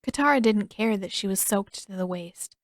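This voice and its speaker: A woman's voice: mid-range voice, expressive, normal speed, no echo. Woman narrating a story, very dry sound. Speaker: audiobook narrator.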